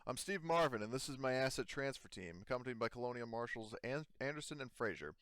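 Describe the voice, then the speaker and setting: Guy doing a brisk formal creature wrangler boss, smooth voice, tabletop game, dry sound. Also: medium pitch, animated, fairly bright tone, no room sound, natural voice. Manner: formal, businesslike. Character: brisk, formal male leader of an animal transfer team.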